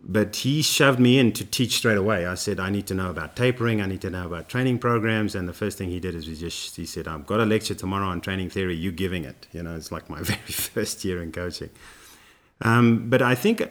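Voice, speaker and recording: A man, a running coach, telling his story in a relaxed interview with lots of fillers and restarts. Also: lowish voice, brisk. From South Africa. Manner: rambling, many fillers and restarts.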